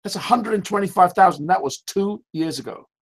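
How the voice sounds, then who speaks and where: A man hosting a sales team call who talks loudly, with emphatic, repetitive statements and rhetorical questions. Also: high voice, a little fast. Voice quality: loud voice. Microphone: call audio.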